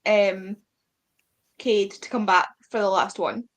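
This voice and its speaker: A woman co-hosts a casual chat about books. Her voice is medium in pitch, and she talks loosely, with "like" scattered through it and quotes acted out as she goes. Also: steady tone, slow pace. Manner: peppers speech with "like", acts out quotes. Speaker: co-host of a book readalong.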